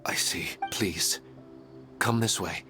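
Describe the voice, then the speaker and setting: An actor playing a humble, gentle and resigned family man in a video game, speaking politely and earnestly. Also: fairly low pitch, slow. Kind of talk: video game character acting. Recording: game music playing behind.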